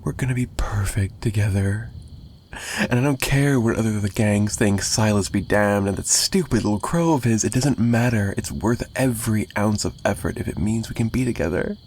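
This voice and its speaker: A man playing an obsessive, lovesick mafia boss in an intimate ASMR roleplay, menacing yet pleading, with halting, repetitive speech that drifts into breathy whispers. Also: fairly low pitch, darkish tone, slight background noise, close to an everyday voice. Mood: pleading, insistent, then possessive, menacing.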